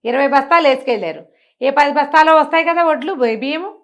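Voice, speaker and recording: పై స్థాయి గొంతుతో కథ చెప్పే మహిళ. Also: female voice, sits high, quick pace, fairly bright tone. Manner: colloquial, asks rhetorical questions as she goes. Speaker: storyteller. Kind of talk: conversational oral storytelling.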